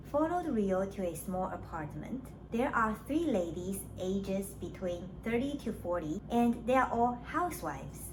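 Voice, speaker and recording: A woman narrating a scripted summary of a story, as a book reviewer does. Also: medium pitch. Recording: clearly noisy background.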